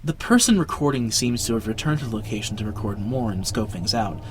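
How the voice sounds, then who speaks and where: A man's voice: lowish voice, lively intonation, brisk, a little hiss or hum. A young man hosting a video essay with casual scripted narration and dramatic emphasis. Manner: dramatic emphasis with jokey asides. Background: background music.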